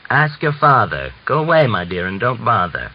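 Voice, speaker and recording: A man's voice: medium pitch, big pitch swings, moderate pace. A man voicing a grumpy, devout old grandfather in a storybook reading, with a brusque manner. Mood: gruffly dismissive. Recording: muffled lo-fi audio with clear hiss.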